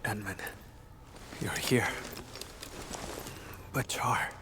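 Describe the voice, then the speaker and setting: An actor playing a grave, tormented but loyal hunter in a video game, with a solemn, earnest delivery. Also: male voice, on the low side, very slow, noisy background. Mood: weary, regretful. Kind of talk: dramatic video game voice acting.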